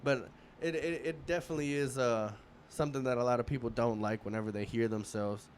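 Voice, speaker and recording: A man in his thirties hosting a podcast, chatting in a relaxed, rambling way in a casual interview. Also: medium pitch, brisk, a little hiss or hum. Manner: relaxed, rambling, many restarts and fillers. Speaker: podcast host.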